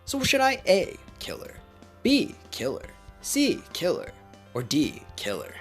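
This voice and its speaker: A man voicing a brash, crude, wisecracking male mercenary who jokes loudly, over faint music. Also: sits high, wildly swooping pitch, unhurried, pitched above the performer's own voice, exaggerated voice. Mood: jokey. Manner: loud, crude, jokey.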